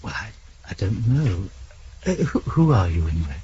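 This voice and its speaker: A nervous man hiding something. His voice is lowish, hesitant and stammering, in 1970s radio drama style. The broadcast is muffled with faint noise. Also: sing-song, moderate pace, warm tone. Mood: nervous, evasive. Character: nervous, evasive man questioned at his door.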